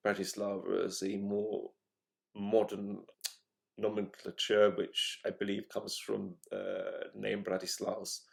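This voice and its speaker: Low gravelly man, flat delivery. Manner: measured, halting, searching for words. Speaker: podcast host. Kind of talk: solo travel monologue.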